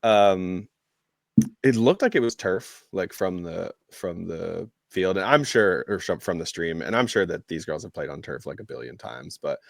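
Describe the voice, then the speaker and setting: A man's voice: sits low, lively intonation, moderate pace, creaky, no echo, clean studio sound. A man co-hosting a sports podcast in casual conversation, hedging his points and leaning on "like" as he talks. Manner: hedging, conversational, heavy use of "like". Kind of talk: casual soccer commentary chat.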